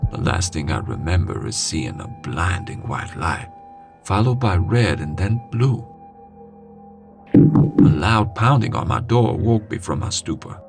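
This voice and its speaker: A man narrates a horror tale in a low, unhurried voice that swings widely in pitch. His delivery is dramatic and suspenseful, leaning on pauses, with light music playing behind him. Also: animated, creaky. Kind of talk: scripted first-person scary story narration.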